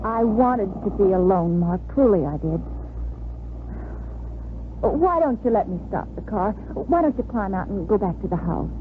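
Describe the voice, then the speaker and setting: A woman's voice: mid-range voice, animated, moderate pace, lightly acted. An American woman playing a troubled young bride-to-be in a hesitant, earnest 1950s radio drama style. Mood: weary, pleading. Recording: muffled vintage radio recording, faint hiss.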